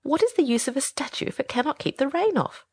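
A woman voicing a small, vain, impatient male swallow from a storybook, brisk and opinionated. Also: sits high, lively intonation, a little mellow, no echo, studio quality, voice pushed up. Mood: complaining. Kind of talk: storybook character reading.

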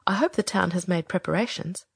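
A woman voicing a small, vain, impatient male swallow from a storybook, brisk and opinionated. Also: on the low side, creaky, husky, no room sound, studio quality. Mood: self-satisfied. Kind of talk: storybook character reading.

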